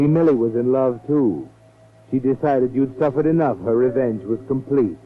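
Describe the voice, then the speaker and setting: A man's voice: lowish voice, natural voice. A man playing a sardonic, ominous, all-knowing narrator of a 1940s radio mystery, taunting the culprit directly. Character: the Whistler, sardonic omniscient man narrating crime tales. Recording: tinny 1940s radio recording, music behind. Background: dramatic music.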